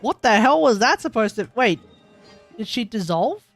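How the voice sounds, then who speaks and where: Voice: mid-range voice, sing-song, moderate pace, faint music behind. A streamer with an Australian accent talking casually through a game live stream, rambling and often correcting themselves. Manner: rambling, self-correcting, chatty.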